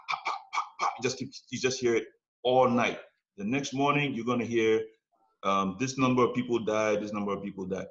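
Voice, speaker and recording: A man casually telling long personal stories, animated and conversational, often checking in with "you know what I'm saying.". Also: lowish voice. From United States. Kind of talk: casual storytelling chat. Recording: roomy, dull lo-fi podcast sound.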